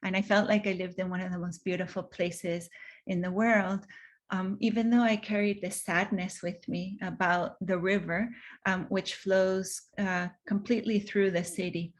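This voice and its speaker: A middle-aged woman filmmaker from Venezuela, giving an online presentation over a video call in a soft manner with many pauses and ums. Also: medium pitch, fairly even intonation.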